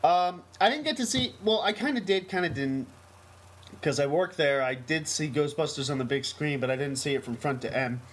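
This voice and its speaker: A man's voice: a little high, normal speed. An American man co-hosting a casual podcast, talking in a rambling, emphatic, enthusiastic way. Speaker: podcast co-host. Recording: noisy amateur podcast recording.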